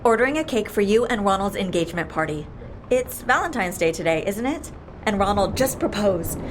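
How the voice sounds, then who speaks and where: A woman playing a brisk, persuasive and wry boss of a shady outfit in an audio drama. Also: a little high, background noise, close to an everyday voice. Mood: brisk, scheming. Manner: persuasive, wry, matter-of-fact. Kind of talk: audio fiction drama acting.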